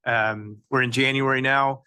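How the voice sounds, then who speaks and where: Flat-toned man explaining a process. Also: mid-range voice, smooth, no room sound. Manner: halting, many restarts and fillers. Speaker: agency staff presenter. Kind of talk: explaining at a public meeting.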